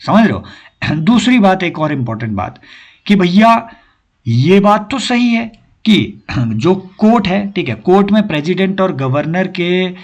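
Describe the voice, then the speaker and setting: A young man with a hoarse throat teaching an exam-prep lecture, repeating key points and checking that his listeners follow. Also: sits high, sing-song, lo-fi sound. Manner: mixes Hindi with slow English phrases. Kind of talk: recorded lecture.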